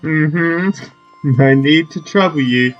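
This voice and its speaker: Guy playing a game n chatting offhand, kinda low-key, bit of music in the background. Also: slow, highs cut off. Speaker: gamer commenting on a playthrough. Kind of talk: casual gameplay commentary.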